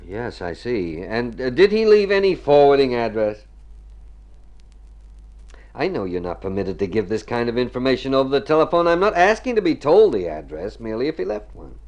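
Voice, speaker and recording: An actor playing a worried, tender family friend in mid-century radio drama, earnest and trying to stay practical. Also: male voice, mid-range voice, very animated, moderate pace, darkish tone. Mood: businesslike.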